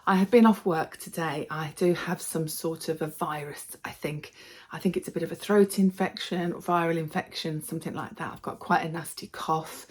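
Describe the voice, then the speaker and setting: A woman vlogger with a slightly hoarse voice from a cold, chatting casually and cheerfully to her viewers. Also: deep voice, fairly even intonation, normal speed. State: slightly hoarse, losing her voice from a throat infection. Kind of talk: casual vlog chat to viewers.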